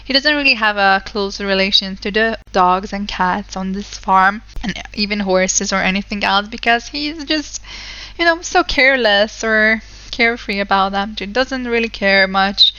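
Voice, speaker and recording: Young non-native woman, rambling game commentary host; bright, crisp voice, dry close mic, slightly dull sound. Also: medium pitch, moderate pace, no room sound. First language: not English. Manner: chatty, rambling, many fillers. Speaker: let's play host. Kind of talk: casual gameplay commentary.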